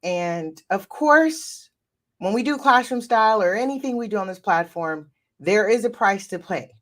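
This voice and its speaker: A woman's voice: medium pitch, expressive, moderate pace, a bit bright. A woman hosting a livestreamed real estate show, congested and nasal from a cold, upbeat and repeating phrases as she talks straight to her audience on a desk mic. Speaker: real estate podcast host. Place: home office with a computer. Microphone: desk microphone.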